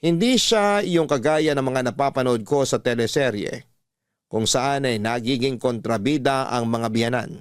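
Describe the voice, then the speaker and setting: A man's voice: mid-range voice, moderate pace, clean tone, no echo. Dry-sounding Filipino male story narrator. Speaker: radio-style story narrator. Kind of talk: first-person dramatic storytelling, reading a letter. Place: dry studio, music behind.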